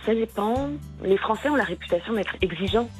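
Woman, Parisian French accent, radio guest, relaxed, laughs easily, middle pitch, faint music. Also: brisk. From France. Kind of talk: radio interview.

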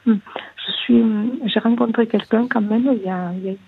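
A woman calls in to a radio advice show and talks haltingly, restarting phrases as she looks for the right words. Her slightly husky voice comes through a thin, phone-like line. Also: a little high, fairly even intonation, no room sound. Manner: hesitant, searching for words, many restarts. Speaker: caller. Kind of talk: personal confession on call-in radio.

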